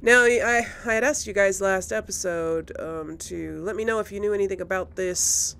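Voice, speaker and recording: A woman giving casual let's play commentary on a video game, thinking aloud in an unhurried way. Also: mid-range voice, gravelly, a bit bright, smooth. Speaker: gamer, series host.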